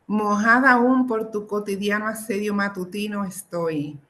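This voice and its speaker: A middle-aged woman from the Republic, presenting and reading her own poetry in a deliberate, expressive way. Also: mid-range voice, slight background noise, poor audio. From Dominican Republic. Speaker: poet and professor presenting own work. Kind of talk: poetry reading with informal introductions.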